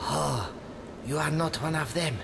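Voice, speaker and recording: High-voiced dying Tibetan monk. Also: male voice, vocal fry, noisy background. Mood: dazed, hopeful. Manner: theatrical, halting, stilted broken English. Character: dying Tibetan monk, rambling, righteous and embittered. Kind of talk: dramatic video game cutscene acting.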